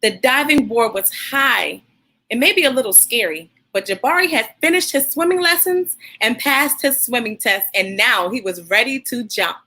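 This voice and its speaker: A woman hosting a children's read-aloud, speaking in an animated, encouraging way straight to her young listeners. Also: on the high side, normal speed.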